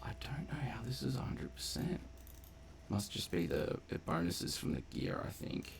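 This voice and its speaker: A man's voice: mid-range voice, sing-song, normal speed, gravelly, whispered. A man giving casual gameplay commentary, thinking aloud in a laid-back, colloquial mutter. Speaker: gameplay video host.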